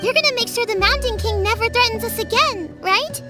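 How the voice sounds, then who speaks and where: A woman voicing an excited young village kid who gushes over the hero in a video game. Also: very high voice, brisk. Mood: admiring. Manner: gushing and excited. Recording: game audio over music.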